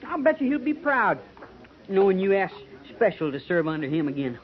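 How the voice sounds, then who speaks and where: An actor playing an earnest, trusting young American cavalry trooper in a folksy 1950s radio western. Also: male voice, sits high, big pitch swings, moderate pace. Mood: admiring. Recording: dull, noisy 1950s radio transcription.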